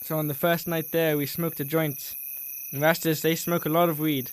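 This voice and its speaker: Higher-pitched man telling a travel story. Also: level tone, normal speed, a little hiss or hum. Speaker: traveller recounting a journey. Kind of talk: casual first-person storytelling.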